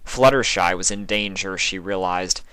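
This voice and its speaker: Guy reading a story dramatically, lowish voice, really dry close sound. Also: moderate pace, bright, forceful tone, clear, resonant tone, dry recording. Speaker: fan fiction reader. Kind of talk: dramatic scripted story narration.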